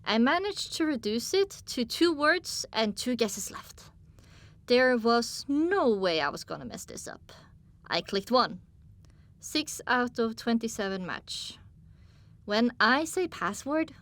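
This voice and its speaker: Casting: female audiobook narrator, lightly raised pitch, telling a story dramatically in first person. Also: very animated, takes it slowly, a bit bright.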